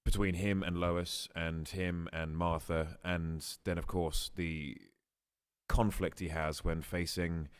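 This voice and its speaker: A man's voice: low voice, little pitch movement, slow, clean tone. A male actor giving a composed, articulate answer at a press conference.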